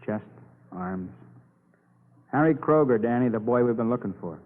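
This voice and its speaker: A man's voice: medium pitch, expressive, natural voice. Tinny, noisy old-radio cop played by a man. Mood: grim. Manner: slow-building, repeats the listener's name, asks rhetorical questions. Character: weary, rambling, needling male police detective. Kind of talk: vintage radio crime drama acting. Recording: noisy, narrow-band old radio broadcast.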